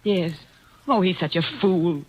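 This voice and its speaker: An actress playing an anxious secretary under suspicion in an old radio drama, speaking in halting, breathless bursts. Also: female voice, fairly low pitch, slightly rough, dull audio. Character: anxious female secretary, worried suspect. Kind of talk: 1940s radio acting.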